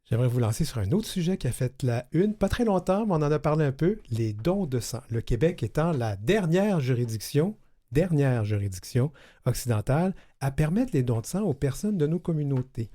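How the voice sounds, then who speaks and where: Lively host. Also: male voice, medium pitch, brisk. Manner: animated, laughs easily. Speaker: round table host. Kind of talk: moderating a radio panel.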